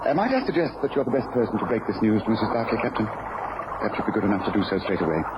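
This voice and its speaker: An actor playing a gentleman detective in a radio drama, questioning people with polite, clipped authority. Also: lowish voice, sing-song, very fast. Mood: probing. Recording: noisy, dull lo-fi radio recording.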